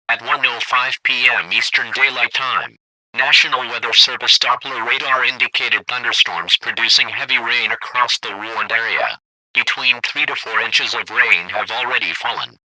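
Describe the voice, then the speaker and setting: Very bright synthetic male alert announcer. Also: mid-range voice, no echo, low-quality recording. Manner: formal, impersonal, clipped announcing. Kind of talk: scripted weather warning bulletin.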